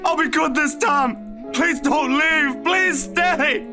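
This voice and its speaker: Small frightened child: high strained pitch, desperate begging, visual novel scene with music. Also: male voice, takes it slowly, heavily acted. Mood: desperate pleading. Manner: pleading, desperate. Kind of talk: visual novel character reading.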